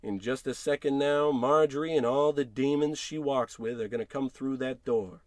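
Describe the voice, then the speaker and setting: A man's voice: medium pitch, fairly even intonation, moderate pace, a little mellow, no room sound, natural voice. A man playing a paranoid, unraveling husband in old-time radio suspense style, theatrical, brooding and sardonic. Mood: seething, menacing. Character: paranoid husband unraveling over a piggy bank.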